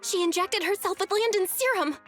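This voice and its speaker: Earnest high-voiced young game heroine. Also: female voice, brisk, a bit bright, faint music behind. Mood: dazed, worried. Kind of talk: video game voice acting.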